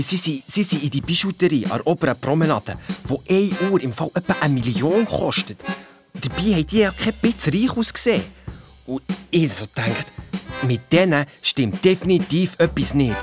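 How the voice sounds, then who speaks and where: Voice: mid-range voice, lightly acted. Young Swiss German boy detective played by an actor, eager and colloquial storyteller for a children's radio play, music underneath. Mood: suspicious, eager. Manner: colloquial, eager, defensive when challenged. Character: excitable young boy playing amateur detective. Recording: music behind the voice.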